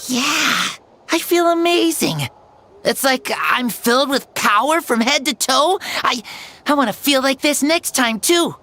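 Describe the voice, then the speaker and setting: Halting, slow-talking game child. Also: female voice, sits high, lively intonation, pushed and bright, heavily acted. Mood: excited, wondering. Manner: halting, wondering. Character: frail, earnest child waking dazed and full of wonder. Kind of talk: video game voice acting.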